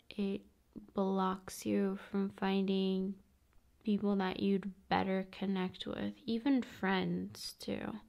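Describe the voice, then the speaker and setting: A woman speaking softly and often in a whisper, in an intimate, soothing ASMR style addressed to the viewer. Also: mid-range voice, creaky. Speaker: ASMR video host.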